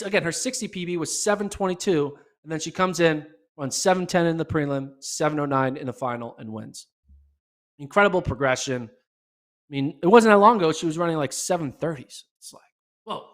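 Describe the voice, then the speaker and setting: A man hosting a casual solo podcast recap, chatty and excitable, with a voice going hoarse. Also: on the high side. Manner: excitable, chatty, many fillers. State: losing his voice. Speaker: podcast host.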